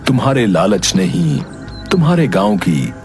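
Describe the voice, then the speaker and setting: A man playing a vengeful, gloating sorcerer sadhu in a cartoon story, speaking in theatrical phrases with dramatic pauses. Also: sits low, big pitch swings, darkish tone, soft background music.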